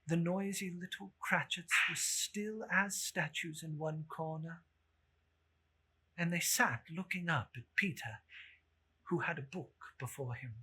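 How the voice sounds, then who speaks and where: A story narrator giving a theatrical, measured dramatic reading of a literary tale. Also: mid-range voice, sharp tone, breathy edge.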